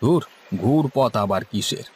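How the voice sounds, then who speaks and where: A man's voice: medium pitch, lively intonation, measured pace, darkish tone, breathy edge. A man playing a seasoned, middle-aged village boatman in a cartoon story, speaking in a folksy, know-it-all way. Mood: assured, explaining. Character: seasoned, boastful village boatman and hilsa fisherman, elder-brother figure. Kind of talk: Bengali cartoon story dialogue. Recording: faint background noise and ambience.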